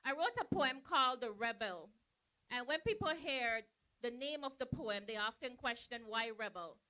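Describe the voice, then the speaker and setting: A young woman from Guyana, giving a measured, earnest speech as a poet at an outdoor rally. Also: on the high side, expressive, bright, forceful tone, rough recording. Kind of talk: reflective speech.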